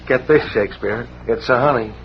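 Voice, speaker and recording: A man's voice: mid-range voice, normal speed, lightly acted. An actor playing a skeptical, sarcastic police sergeant in 1940s radio drama, needling and full of tough-guy slang. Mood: sarcastic, mocking. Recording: noisy muffled vintage radio recording.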